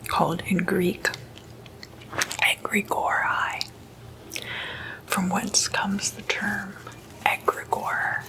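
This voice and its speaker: A woman speaking in a hushed ASMR whisper, unhurried and in short halting phrases, like an informal late-night lecture. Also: mid-range voice, level tone, low-quality recording. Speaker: ASMR host.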